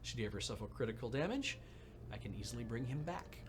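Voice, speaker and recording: A man's voice: mid-range voice, animated, fast, slight background noise, pitched below the performer's own voice, natural voice. A man reading a polite Russian drone engineer. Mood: wry, courteous. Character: courteous Russian male drone engineer, wry.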